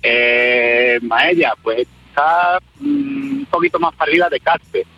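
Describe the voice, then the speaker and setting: A man's voice: fairly high pitch, lively intonation, slow pace, gravelly, bright, forceful tone, soft background music. A man with an accent from Spain, a trucker calling a radio show for a casual chat over the phone. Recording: dull phone call on radio.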